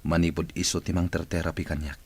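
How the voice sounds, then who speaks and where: A man's voice: sits low, level tone, quick pace, creaky, darkish tone, husky, exaggerated voice. A man playing an ailing, solemn boss who longs to recover, in a radio drama with an Ilocano Filipino accent. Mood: earnest, hopeful.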